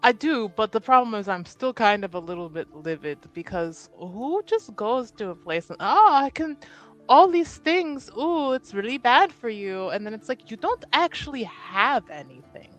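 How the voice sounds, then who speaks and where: A woman's voice: on the high side, moderate pace, soft background music. A woman playing a proper young noblewoman who gushes informally in a tabletop game. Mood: indignant. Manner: excited, informal gushing between composed moments. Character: proper young noblewoman, gushing affection for her uncle.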